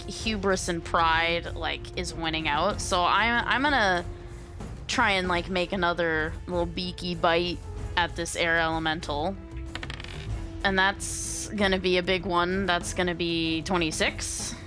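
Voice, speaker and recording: A woman's voice: fairly low pitch, measured pace, over music. A woman playing in a tabletop roleplaying game, chatting casually and wryly with some swearing. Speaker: guest player in a tabletop game. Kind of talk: casual in-character game chat.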